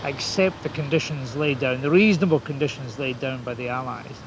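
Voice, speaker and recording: A man's voice: mid-range voice, a little fast. A man giving a formal political statement to reporters. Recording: clear outdoor traffic noise.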